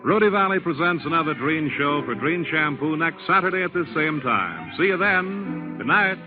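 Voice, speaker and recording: A man's voice: on the high side, animated, normal speed, over loud music. An American man announcing in a polished, scripted 1940s radio commercial style. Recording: thin, narrow old 1940s radio broadcast.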